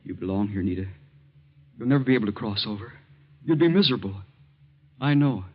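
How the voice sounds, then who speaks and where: Bitter jilted young guy, lowish voice, brooding, old radio drama, muffled sound. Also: lively intonation, fairly quick. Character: bitter, resigned young doctor, a jilted suitor. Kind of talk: 1940s radio drama acting. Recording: dull lo-fi old radio recording.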